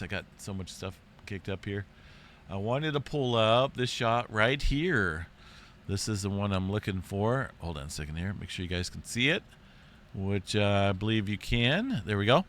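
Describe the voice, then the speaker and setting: A man hosting an earthquake update in a rambling, chatty way, his voice deep and congested from being sick. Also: sharp tone. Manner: rambling, chatty, says "right?" and "big time" often. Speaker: earthquake and space weather channel host. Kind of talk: casual screen-share update.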